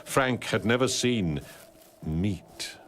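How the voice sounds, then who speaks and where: Low-voiced deadpan man narrating, lively intonation. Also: very slow, a little hiss or hum. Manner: deadpan, wry storyteller. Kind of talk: scripted storybook narration for an animated short. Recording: music behind the voice. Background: music.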